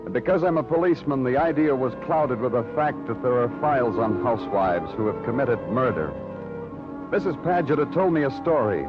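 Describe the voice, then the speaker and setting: An actor playing a weary, probing police detective who narrates his own cases in a measured, terse 1950s radio crime drama style. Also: medium pitch, close to an everyday voice. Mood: brooding narration. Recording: muffled old radio broadcast with music.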